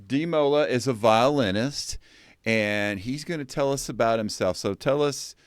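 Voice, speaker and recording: A man's voice: medium pitch, normal speed, smooth, no room sound, studio quality. A man hosting a tourism podcast over a video call, asking questions in a hesitant, self-correcting way. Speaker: podcast host.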